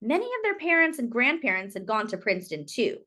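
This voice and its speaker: Lady doing a kids read-aloud, highish voice that swoops up and down, really leans on the key words. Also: very animated, bright, forceful tone. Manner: dramatic, deliberate phrasing with drawn-out emphasis. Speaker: storyteller reading a picture book aloud. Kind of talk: expressive children's read-aloud narration.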